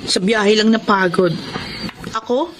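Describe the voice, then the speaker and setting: A woman's voice: medium pitch, unhurried, close to an everyday voice. Woman acting a young filipina girlfriend, plaintive and put-upon, radio drama, noisy behind her. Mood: weary, resentful. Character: put-upon young live-in girlfriend, polite yet resentful.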